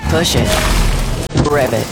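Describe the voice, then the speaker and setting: A woman reads a punchy car commercial in a low voice. Loud music runs beneath her. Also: takes it slowly, gravelly. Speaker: commercial announcer.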